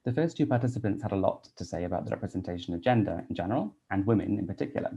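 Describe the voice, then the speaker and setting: Low-voiced, mellow man giving a talk. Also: expressive, slightly rough, dull audio. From Wales. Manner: measured, read-out delivery with soft "um" fillers. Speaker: English teacher and researcher. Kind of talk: prepared conference talk.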